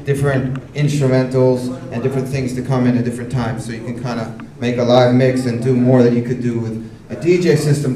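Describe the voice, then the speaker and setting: Man explaining gear, roomy and distant. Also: medium pitch. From United States. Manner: relaxed, rambling with many fillers. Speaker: workshop guest musician and producer. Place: workshop room at a cultural venue. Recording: roomy venue, mic from far off.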